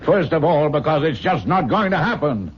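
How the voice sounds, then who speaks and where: An actor playing a stubborn, ailing middle-aged father in an old radio drama, husky and blustering, on a muffled recording. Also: on the high side, expressive. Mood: indignant. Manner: blustering, halting, worked up. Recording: muffled lo-fi vintage radio sound.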